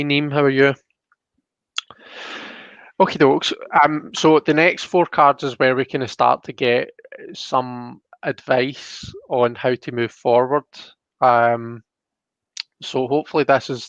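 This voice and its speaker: A man's voice: mid-range voice, fairly bright tone, dry recording, highs cut off. A man hosting a casual tarot reading, explaining the cards in a hesitant, rambling way.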